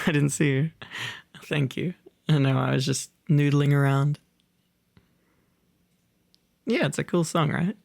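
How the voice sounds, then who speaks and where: A young man talks in an intimate ASMR boyfriend style, rambling and teasing playfully. His voice is creaky and his pace unhurried, recorded close and very dry. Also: on the high side, no echo. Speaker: ASMR roleplay performer, reader. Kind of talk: intimate ASMR boyfriend roleplay, casual chat.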